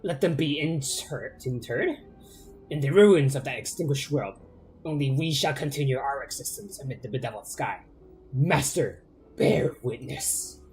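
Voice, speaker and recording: A man's voice: medium pitch, expressive, a little mellow, faint music behind, lightly acted, poor audio. A man reading as a vengeful bunker spirit. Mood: wrathful. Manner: theatrical, slow and declamatory. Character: grandiose spirit guarding a ruined bunker, vengeful.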